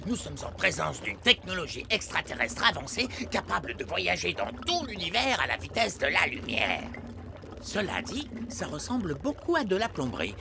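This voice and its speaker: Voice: medium pitch, big pitch swings, moderate pace, vocal fry, background noise. An actor voicing a fussy, pompous old male elf sage in a children's cartoon, hesitant and easily flustered. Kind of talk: dubbed children's cartoon acting.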